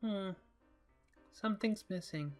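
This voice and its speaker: A man's voice: sits high, takes it slowly, darkish tone, soft background music, voice pushed up. A man voicing a playful six-year-old girl who pleads and exclaims eagerly in a story. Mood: pondering.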